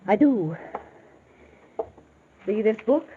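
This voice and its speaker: Worried female client, medium pitch, earnest and urgent, vintage radio melodrama, narrow phone-like sound. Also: expressive, extremely slow, a little hiss or hum. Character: anxious, earnest female client pleading for help. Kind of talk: 1940s radio acting. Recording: muffled, narrowband old radio recording.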